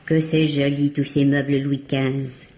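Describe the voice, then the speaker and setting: A woman playing a timid, excitable, naive spinster sister in a radio mystery, earnest and hesitant. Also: extremely low pitch, measured pace. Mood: dreamy, naive. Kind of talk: vintage French radio mystery acting. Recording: dull, lo-fi old radio recording.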